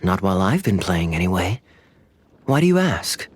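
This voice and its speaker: An actor playing a suave, crafty young knight in a video game, smooth, teasing and unhurried. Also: male voice, deep voice, very animated, heavy background noise. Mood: curious, sly. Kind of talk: animated game voice acting. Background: faint music.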